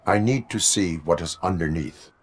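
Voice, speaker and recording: An actor playing a stoic cyborg police officer in a video game, speaking in a stiff, formal, deadpan manner. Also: male voice, sits low, normal speed, natural voice.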